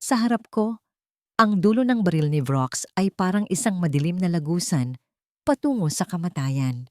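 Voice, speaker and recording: Dramatic female storyteller, lowish dry voice. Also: expressive, moderate pace, no echo. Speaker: audio drama narrator. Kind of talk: dramatic scripted story narration.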